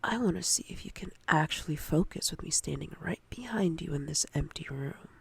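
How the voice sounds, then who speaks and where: A woman voicing a domineering, predatory female boss in intimate roleplay ASMR, speaking in a breathy, often whispered voice with slow, commanding, teasing menace. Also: low voice, animated, dry recording, heavily acted. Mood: teasing, possessive.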